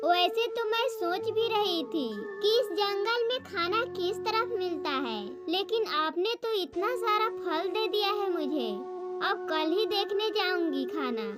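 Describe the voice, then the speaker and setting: A tiny female cartoon bird acted by a woman talks in an extremely high, childlike voice. She is chatty and earnest. Loud music runs behind her. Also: unhurried. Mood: grateful. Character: small female bird, chatty, plain-spoken. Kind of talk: Hindi cartoon moral story acting.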